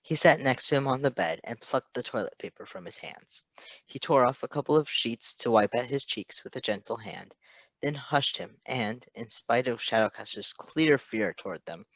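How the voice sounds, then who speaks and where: Male story narrator, mid pitch, expressive reading, dry close recording. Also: normal speed, dry recording, muffled recording. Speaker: podfic reader.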